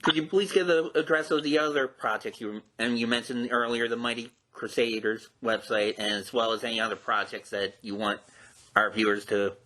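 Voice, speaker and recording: Male interview host, bright clear-cut tone, polite and measured, phrase endings falling away. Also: medium pitch, fairly even intonation, low-quality recording. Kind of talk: conversational interview hosting.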